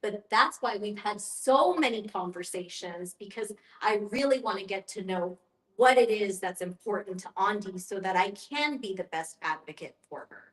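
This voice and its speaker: A woman's voice: mid-range voice, animated, normal speed. A woman leading a group discussion as facilitator, speaking in an earnest, explanatory way with emphatic repetition. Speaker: guest facilitator, end-of-life educator. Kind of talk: guided group discussion with personal anecdotes. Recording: echoey room.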